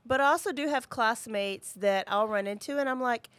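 A woman's voice: fairly high pitch, moderate pace, a bit bright, no room sound. A woman co-hosting a podcast in casual, informal chat, addressing listeners in a folksy way. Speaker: podcast co-host.

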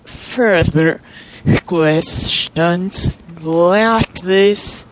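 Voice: medium pitch, extremely slow, low-quality recording. A listener's recorded question spoken with a reversed-speech effect, in disjointed phrases.

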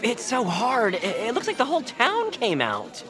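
A woman voicing a loyal, cheerful sidekick friend who talks in a slow, earnest, encouraging way. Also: fairly high pitch, huge pitch swings, a crowd in the background. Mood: reassuring.

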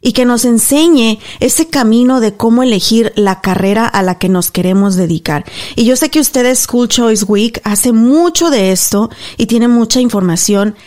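Higher-voiced woman hosting a show. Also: normal speed, hardly any pauses, darkish tone. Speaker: radio show host. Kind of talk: conversational hosting and interviewing.

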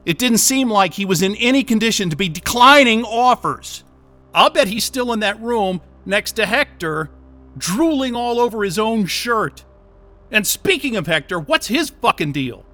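An agitated captive techie in an audio drama, high-pitched for a man and sharply tense. He is sarcastic and defiant, with anger and fear pushing at his words. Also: animated, normal speed, bright, forceful tone, soft background music. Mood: angry, accusing. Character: angry, sarcastic, panicked male software developer held captive.

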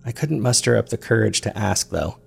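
A man narrating a first-person audio drama as a weary, reflective man, measured and introspective in his storytelling. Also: lowish voice, animated, vocal fry, faint music behind. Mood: tired, uncertain. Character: weary man recounting strange events, reflective and uneasy. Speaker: narrator and host of a fiction podcast.

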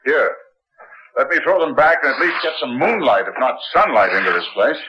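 An actor playing an overwrought man in a radio suspense drama, speaking floridly and theatrically with a husky voice. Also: mid-range voice, expressive, brisk. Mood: dramatic, urgent. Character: overwrought man in a gloomy old room. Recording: thin phone-like old radio recording, music.

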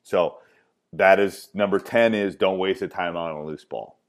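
A very deep-voiced man with a loud voice walks through basketball timeout tips. He hammers points home by repeating them, and his talk is dotted with "um"s and restarts. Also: normal speed. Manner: emphatic, repeats key points, many fillers. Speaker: basketball coach. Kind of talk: coaching clinic talk.

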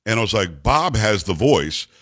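Male talk radio host, deep bass voice, loud and upbeat, dry studio sound. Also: big pitch swings, moderate pace, dry recording. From New York. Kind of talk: energetic talk radio monologue.

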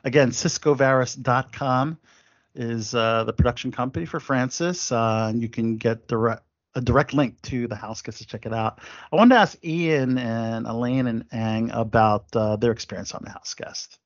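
A man's voice: medium pitch, normal speed, dry recording, muffled recording. Upbeat man hosting a chat panel. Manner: upbeat, laughs often, many fillers. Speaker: podcast host.

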